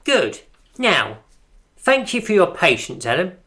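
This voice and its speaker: A woman's voice: on the low side, sing-song, unhurried, a bit bright. A woman playing the self-regarding leader of a poetry circle in a radio drama, with a pompous, arch manner. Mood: brisk, arch.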